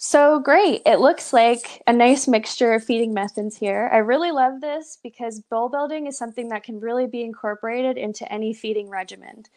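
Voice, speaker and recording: A woman's voice: on the high side, normal speed, few pauses, a little mellow. A young woman presenting a live pet nutrition webinar over a video call, upbeat and encouraging, with lots of "so" and "awesome". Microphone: webcam on a video call.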